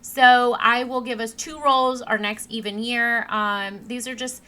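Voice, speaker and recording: Bright-voiced monotone woman gamer. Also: on the high side, measured pace. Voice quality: naturally monotone. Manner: rambling, with many ums and restarts. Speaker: let's play host. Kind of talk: casual gameplay narration. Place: home computer setup. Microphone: desk mic replacing a broken headset.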